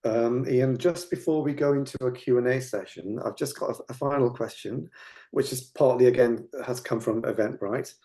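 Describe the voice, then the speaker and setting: A man with a mid-pitched, mellow voice hosts a conversational interview. He asks his questions tentatively, feeling his way through them and adding further parts as he goes. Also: normal speed. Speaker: webinar host, interviewer.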